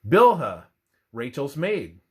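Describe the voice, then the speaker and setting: A man reading a scripted religious narration aloud in a deliberate way, halting between short phrases. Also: fairly high pitch, sing-song, darkish tone, breathy edge. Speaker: reader of a religious presentation.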